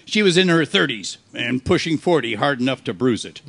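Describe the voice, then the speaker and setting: A man playing a world-weary, wisecracking private detective in a hard-boiled radio play, delivering his lines deadpan. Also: medium pitch, fairly quick, gravelly, slight background noise. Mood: wry narrating. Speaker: amateur actor in a live radio play. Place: live performance on a cruise ship.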